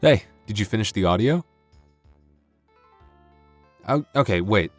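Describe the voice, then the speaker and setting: Low-voiced man chatting casually to listeners. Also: animated, unhurried, dry recording, faint music behind. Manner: rambling, self-deprecating, jokey. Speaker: audio roleplay creator. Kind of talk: casual outro chat to listeners.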